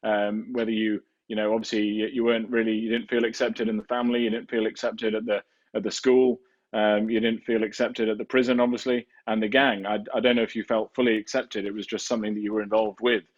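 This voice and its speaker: A man's voice: fairly low pitch, little pitch movement, fast talker, muffled recording. A man hosting a podcast interview, asking long, hesitant questions full of restarts. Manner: hesitant, many fillers and restarts. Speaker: podcast host. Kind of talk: conversational interviewing.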